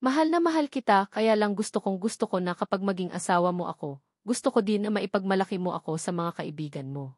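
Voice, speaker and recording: A woman's voice: mid-range voice, animated, fast, dry recording, studio quality, natural voice. A woman voicing an anxious, apologetic young woman in love, with a Filipino accent, in a romance audiobook. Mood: pleading.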